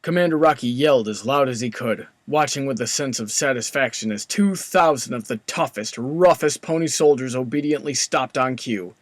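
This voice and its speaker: A man's voice: mid-range voice, normal speed, hardly any pauses, no echo. A man reading scripted story narration as the narrator of an audiobook. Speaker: narrator, fan fiction reader. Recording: clearly noisy background ambience.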